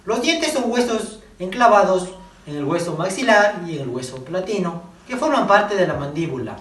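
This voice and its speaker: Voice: mid-range voice, very animated, moderate pace, a bit bright, faint music behind. A young adult student giving an explanatory class presentation, recorded with echo and at a distance from the microphone.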